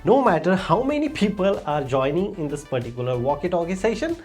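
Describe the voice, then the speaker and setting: A woman's voice: fairly low pitch, very animated, brisk, darkish tone, husky, soft background music. A woman with an Indian accent hosting a tech tutorial, explaining steps in simple phrases with stressed openers. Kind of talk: step-by-step screen demonstration narration.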